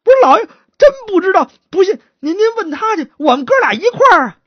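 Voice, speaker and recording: Cowering chatterbox guard for a traditional tale, done by a woman: very high, servile, whining, stammering, swooping pleas. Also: sing-song, moderate pace, no room sound, dull audio. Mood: anxious pleading. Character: cowering, chatterbox male yamen guard pleading innocence.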